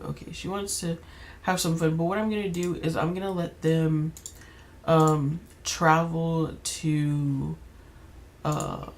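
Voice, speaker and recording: A young woman with a slightly raspy voice, chatting casually while playing a life-sim game, rambling with many fillers and restarts. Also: deep voice, a little mellow, slight background noise. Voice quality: slightly raspy, keeps clearing throat. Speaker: gaming YouTuber. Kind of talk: casual gameplay commentary.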